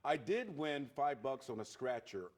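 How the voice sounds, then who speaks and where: A man's voice: mid-range voice, big pitch swings, measured pace. A man with an American accent working as a morning news anchor, reading headlines and bantering casually. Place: TV studio.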